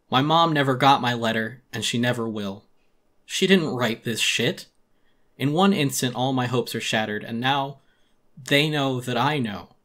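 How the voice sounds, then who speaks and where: A man's voice: mid-range voice, fairly bright tone. A man narrating a scripted first-person story as a dramatic audiobook, in a theatrical, brooding manner.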